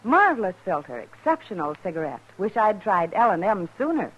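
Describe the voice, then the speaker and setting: Lively woman announcer on muffled old radio. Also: mid-range voice, a little fast, husky. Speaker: announcer reading endorsements in an ad. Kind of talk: 1950s radio commercial announcing. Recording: dull 1950s radio recording.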